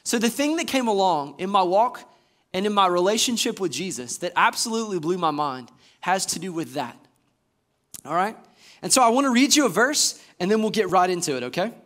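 A man in his thirties from Georgia, an assistant pastor preaching a lively sermon on a church stage and asking the congregation questions. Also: high voice, a little fast. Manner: enthusiastic, gestures widely, asks the congregation questions. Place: church stage before a screen.

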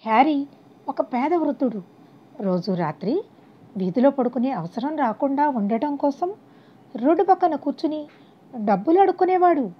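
A woman's voice: sits high, expressive, normal speed, long pauses. A woman narrating a scripted moral story as an audiobook narrator, on a dull, lo-fi recording.

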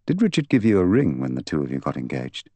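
Audiobook role: courteous, probing male inspector, measured questioning, very low pitch acted by a man. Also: huge pitch swings, mellow, no echo, exaggerated voice. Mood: polite, probing. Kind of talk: audiobook character dialogue.

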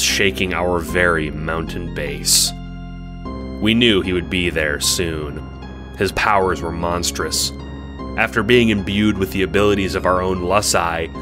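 A man narrating a scripted dramatic story in a solemn, portentous, measured manner. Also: deep voice. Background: instrumental music with guitar.

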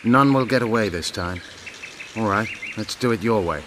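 An actor playing a proud, bitter elven rebel commander in a fantasy game, grave and declamatory. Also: male voice, fairly low pitch, normal speed, noisy background, close to an everyday voice. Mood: commanding. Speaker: video game character actor. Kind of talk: game dialogue acting.

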